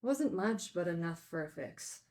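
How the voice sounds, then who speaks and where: A woman's voice: on the low side, animated, measured pace, darkish tone. A woman playing a frank former addict confessing her regrets in a film table read. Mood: regretful, frank.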